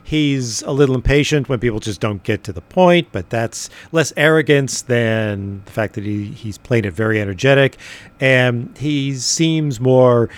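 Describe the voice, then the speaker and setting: A man's voice: mid-range voice, a little slow. Wry man co-hosting a podcast. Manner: wry, opinionated and dryly humorous. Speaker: podcast co-host. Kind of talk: casual podcast chat.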